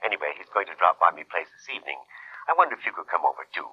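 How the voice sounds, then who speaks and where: A man playing a fussy, effusive bohemian gentleman with an affected, flamboyant manner in 1940s radio drama style. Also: sits low, very animated, rapid-fire, husky, heavily acted. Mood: chummy, arch. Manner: affected, flamboyant, gushing endearments. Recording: dull, lo-fi 1940s radio recording.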